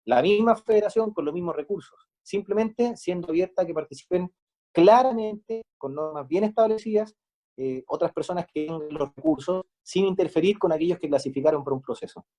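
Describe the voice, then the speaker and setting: Male interviewee, Chilean accent, high-set but soft-toned voice, methodical explainer in the manner of a sports official. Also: fairly quick. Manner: methodical, explaining step by step with examples. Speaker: sports federation manager being interviewed.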